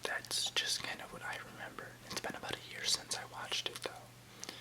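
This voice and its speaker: A soft, fully whispered voice from an ASMR host. The delivery is unhurried and hushed, moving between casual remarks and careful reading of written text, with no voiced speech.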